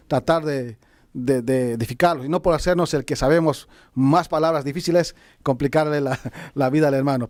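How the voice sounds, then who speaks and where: An earnest man from South America speaks on a church conference panel. His voice is mid-pitched and he repeats words as he finds his way. The recording is close and dry. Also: darkish tone, no room sound. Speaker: panelist, pastor. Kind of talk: panel discussion.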